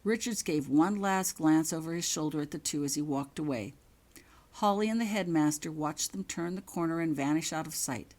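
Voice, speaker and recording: A woman reading her own fiction aloud as expressive audiobook-style narration. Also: sits low, moderate pace. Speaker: author reading own story.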